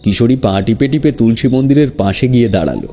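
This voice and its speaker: A man's voice: mid-range voice, fast talker, mellow, faint music behind. A man narrating a scripted horror story in the first person.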